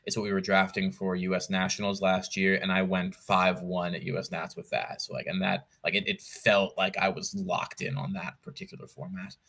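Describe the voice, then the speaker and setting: Low, bright-voiced man chatting in interview. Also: completely flat delivery, normal speed, vocal fry, hardly any pauses. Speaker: podcast guest, competitive card game player. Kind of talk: casual interview storytelling.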